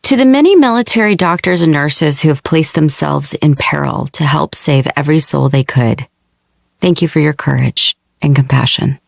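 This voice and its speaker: A woman's voice: low voice, moderate pace, vocal fry, no room sound, clean studio sound. A woman reading an audiobook dedication in a scripted narration style.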